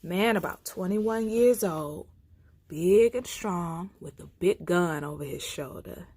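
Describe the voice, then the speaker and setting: A woman reading folktales aloud for children as a storyteller, with deliberate, theatrical phrasing. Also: on the low side, darkish tone, husky, no room sound. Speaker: storytime reader.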